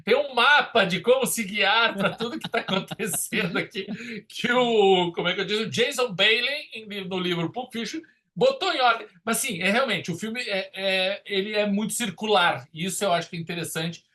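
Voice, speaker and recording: A man casually discussing film as a podcast host, animated and opinionated. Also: high voice, moderate pace.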